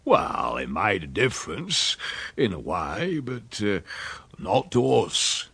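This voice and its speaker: A man voicing a wicked, droll old country uncle with a rural English accent, gruff and fruity, spinning tall tales in a storybook reading. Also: on the low side, huge pitch swings, fairly bright tone, no room sound, exaggerated voice. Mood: evasive. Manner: sly, drawn-out dialect storytelling.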